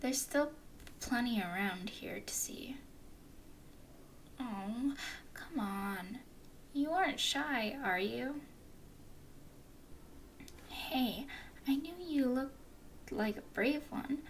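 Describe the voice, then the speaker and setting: A woman voicing a flirty, playful female werewolf guide in an ASMR roleplay, speaking in soft, teasing whispers. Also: on the high side, animated, very slow, pauses often, a little hiss or hum.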